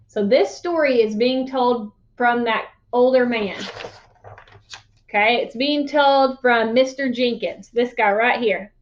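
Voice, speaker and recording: High-voiced woman reading aloud. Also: slow, lo-fi sound. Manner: explanatory, addressing young listeners. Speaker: read-aloud teacher. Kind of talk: storybook reading with explanatory asides.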